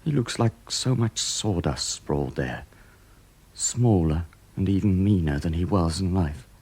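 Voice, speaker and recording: An actor playing a desperate, self-justifying murderer in a radio drama, brooding theatrically with sudden nervy outbursts. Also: male voice, low-pitched, expressive, measured pace, darkish tone, breathy edge, full character voice. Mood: grim, hushed.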